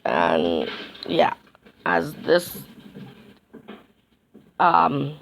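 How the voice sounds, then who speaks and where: A woman chats to her vlog viewers in a hoarse, gravelly voice that is nearly gone. She rambles and keeps restarting her sentences. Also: very deep voice, very animated, slight background noise. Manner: rambling, halting, many restarts. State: hoarse, losing her voice from a sinus infection. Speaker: YouTube vlogger. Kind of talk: casual vlog chat to camera.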